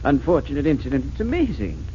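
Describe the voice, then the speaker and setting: An actor playing a polite, precise and slightly stuffy museum gem expert in a 1940s radio drama. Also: male voice, mid-range voice, wildly swooping pitch, fast talker, warm tone. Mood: earnest, puzzled. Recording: muffled, hissy 1940s radio recording.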